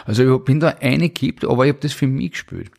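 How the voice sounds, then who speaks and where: A man's voice: medium pitch, fairly even intonation, fast, creaky, a little mellow. A middle-aged man with an Austrian accent, casually telling stories from his own life in an interview. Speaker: interview guest. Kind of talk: casual autobiographical storytelling.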